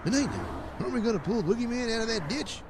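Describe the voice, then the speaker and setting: A man's voice: very high voice, animated, fast talker, a little mellow, slight background noise, voice pushed up. A man voicing a timid, whiny male superhero sidekick in a comedic audio play. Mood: worried.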